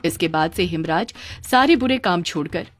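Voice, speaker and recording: A woman's voice: lowish voice, expressive, normal speed, faint music behind. A woman narrating a scripted children's story as a storyteller.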